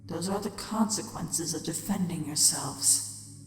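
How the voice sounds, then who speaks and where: A woman's voice: lowish voice, unhurried, natural voice. A woman voicing a dying, bitter changeling queen, a tired and scornful villain in an audio drama. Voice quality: tired, weary. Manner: deadpan, scornful. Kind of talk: dramatic fan fiction audio drama acting. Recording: long echoing chamber reverb, music.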